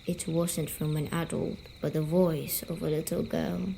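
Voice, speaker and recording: A woman voicing a gentle, teasing female sleep paralysis demon, speaking softly and soothingly in an ASMR story-telling roleplay. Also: low voice, steady tone, gravelly, a little hiss or hum, pitched below the performer's own voice. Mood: hushed storytelling. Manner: slow, soothing, coaxing, softly spoken.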